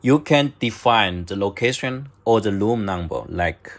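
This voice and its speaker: A man narrating step-by-step instructions in halting, short clipped phrases, as a product demonstrator. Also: on the low side, animated. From China.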